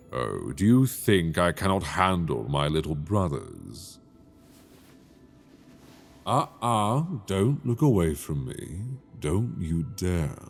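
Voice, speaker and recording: A possessive dragon king, played by a man purrs in a very deep, gravelly voice. He speaks slowly and softly, teasing with lively swings of pitch. This is a binaural ASMR roleplay with faint music behind him. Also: heavily acted. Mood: coaxing. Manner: slow, intimate, teasing, soft-spoken.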